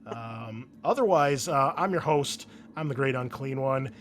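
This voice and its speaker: A man's voice: medium pitch, lively intonation, measured pace, fairly bright tone. A man hosting a tabletop game show as game master, chatting casually with joking banter and easy swearing. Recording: noisy background ambience.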